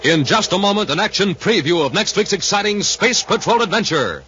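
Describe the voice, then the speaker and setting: A man's voice: high voice, lively intonation, a little fast. A man with an American accent doing scripted 1950s radio announcing and narration in an emphatic, enthusiastic showman style. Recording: muffled, faintly noisy 1950s radio recording.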